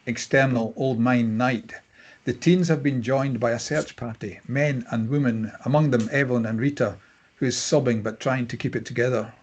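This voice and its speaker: A man with a husky voice reading scripted narration and stage directions at a table read. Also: medium pitch, moderate pace, darkish tone.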